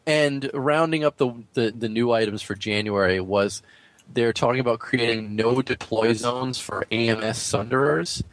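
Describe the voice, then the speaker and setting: A man's voice: medium pitch, moderate pace, no echo, rough recording. A man co-hosting a gaming podcast, running through news items in a casual, conversational way. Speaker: podcast co-host. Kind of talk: casual news rundown and discussion.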